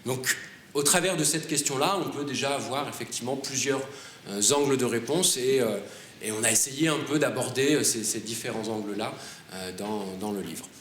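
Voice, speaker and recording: A man's voice: medium pitch, normal speed, fairly bright tone. A man presenting his co-authored book at an award ceremony panel, speaking hesitantly with many fillers and restarts. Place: conference hall. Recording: roomy hall with faint noise.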